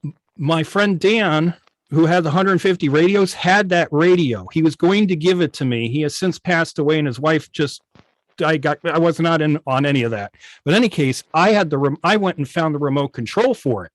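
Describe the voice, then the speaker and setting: Guy guest on a video chat, voice a bit high, keen hobbyist, no echo. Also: normal speed. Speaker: guest, old-time radio station operator. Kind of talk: casual video call chat. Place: indoors at home on a video call.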